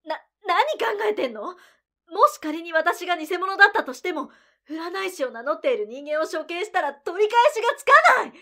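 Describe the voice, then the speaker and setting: A woman's voice: extremely high pitch, animated, moderate pace, bright, forceful tone, heavily acted. A woman playing a proud, abrasive, foul-mouthed young fortune-teller with a fiery temper in an audio drama. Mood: indignant, scolding. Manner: haughty, abrasive, scolding.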